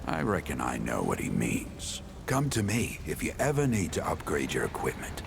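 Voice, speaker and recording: An actor playing a genial, folksy equipment smith and survivor in a video game, chatty and welcoming. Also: male voice, extremely low pitch, huge pitch swings, moderate pace, gravelly, background noise, full character voice. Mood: amused, curious. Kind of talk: video game character dialogue.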